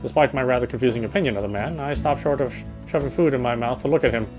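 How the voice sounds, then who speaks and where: A man's voice: medium pitch, very fast, music in the background. A man reading first-person visual novel text aloud as narration, stumbling now and then over words.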